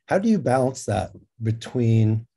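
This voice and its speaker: A man's voice: medium pitch, big pitch swings, measured pace, vocal fry, dark tone, husky. A man moderating a conversation, putting interview questions in a conversational way.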